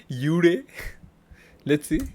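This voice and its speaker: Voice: mid-range voice, big pitch swings, extremely slow, a little mellow. A game streamer giving casual live commentary, hesitant and muttering reactions to themselves. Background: game music.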